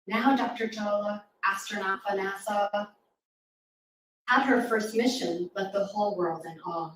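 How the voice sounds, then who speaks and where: A woman's voice: mid-range voice, measured pace. A woman reading rhyming verse aloud to young children at a live storytime, with an encouraging, interactive manner. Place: live reading event in a roomy space. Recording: echoey room, distant mic, faint noise.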